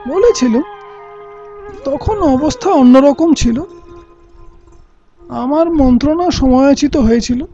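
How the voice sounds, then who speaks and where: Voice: high voice, expressive, slow, long pauses, warm tone, heavily acted. An actor playing a candid male royal minister in an audio play, counselling his king in formal, reasoned, respectful speech. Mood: reasoned, firm. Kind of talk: scripted Bengali audio play acting. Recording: dull lo-fi recording with music.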